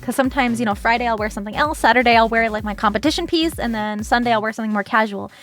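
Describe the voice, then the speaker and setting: A woman's voice: fairly high pitch, very fast, a bit bright, soft background music. A young woman streaming casually while she crafts, chatty and giggly, with lots of "like" and "um.". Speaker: streamer, cosplayer.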